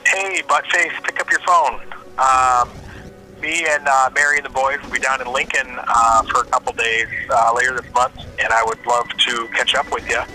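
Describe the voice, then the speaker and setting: A man playing an informal, awkward friend in an audio drama, leaving a halting, rambling voicemail. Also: medium pitch, fairly even intonation, bright, forceful tone, low-quality recording. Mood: joshing, friendly. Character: joshing, awkwardly apologetic male friend. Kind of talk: casual voicemail in audio fiction.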